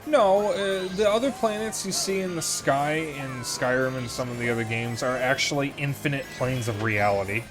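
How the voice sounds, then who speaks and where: A man's voice: mid-range voice, normal speed, few pauses, over music. A man with a Chicago accent chatting while gaming, explaining game lore in detail. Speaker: streamer. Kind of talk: casual gaming chat.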